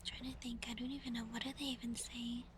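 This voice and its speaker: A woman with a high voice whispers breathily as she chats in a casual ASMR style. She is playful and self-deprecating. Ambient noise is clearly audible behind her. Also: flat delivery, fast talker. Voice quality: whispery, breathy soft speech. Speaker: ASMR streamer. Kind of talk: ASMR, casual chat with listeners.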